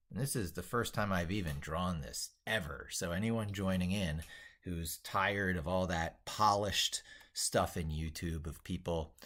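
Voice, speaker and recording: Male livestream host, low-pitched voice, loose unscripted delivery, thinks out loud. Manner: rambling, thinking aloud. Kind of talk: casual unscripted livestream talk.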